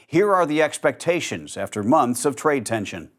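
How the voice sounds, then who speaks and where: A man reading scripted news as the anchor, in a measured, even newsreader delivery. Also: mid-range voice, very noisy.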